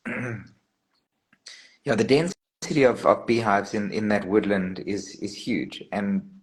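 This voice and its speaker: Man, low voice, from South Africa, loose rambling guest on a video call. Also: fairly even intonation, takes it slowly, poor audio. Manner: rambling, with many restarts and "you know". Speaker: meadmaker guest. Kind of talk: casual online panel chat.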